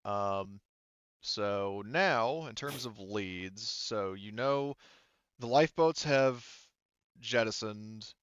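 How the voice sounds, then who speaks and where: A man running a tabletop roleplaying game as game master, improvising narration in a casual, halting way as he thinks aloud. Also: fairly low pitch, a bit bright, clean tone, no echo, lo-fi sound.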